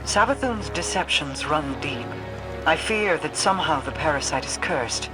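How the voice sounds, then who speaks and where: A woman's voice: low-pitched, animated, moderate pace, rough recording. Imperious, secretive queen over music. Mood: grave, guarded. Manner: formal, clipped, aloof phrasing. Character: imperious, secretive alien queen, cold and commanding. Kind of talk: scripted video game dialogue. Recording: music behind the voice.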